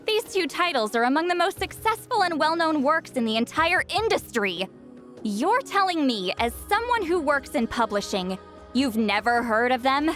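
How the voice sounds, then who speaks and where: Proud prickly game shaman, youthful, high, very animated, brusque, easily flustered. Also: normal speed, faint music behind. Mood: indignant. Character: proud, prickly, reclusive female great shaman, youthful-sounding, secretly geeky. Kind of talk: video game voice acting.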